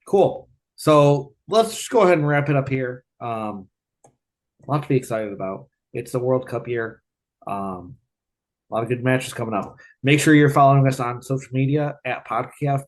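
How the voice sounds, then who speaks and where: A man's voice: medium pitch, a little slow. A man hosting a podcast, chatting casually and upbeat with slangy phrasing, then signing off the show. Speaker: podcast host. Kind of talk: casual chat.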